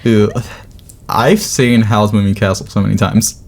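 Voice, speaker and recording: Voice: medium pitch, steady tone, measured pace. A young adult podcast host chatting casually in a wry, playful way. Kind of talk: casual chat. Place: office.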